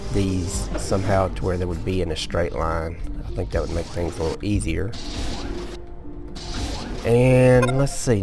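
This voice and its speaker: A man hosting a casual gameplay video, sleepy and relaxed, explaining each step as he goes with small restarts. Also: fairly low pitch. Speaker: gaming video host. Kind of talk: casual let's-play commentary.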